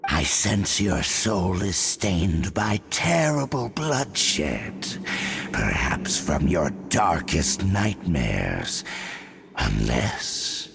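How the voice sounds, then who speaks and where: A sinister abyss herald growls in an extremely deep, gravelly voice and drags out every taunt. His pitch swings wildly between snarls and whispers over a faint hiss. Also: very animated, a bit bright, heavily acted. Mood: menacing. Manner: menacing, drawn-out, whispery taunts.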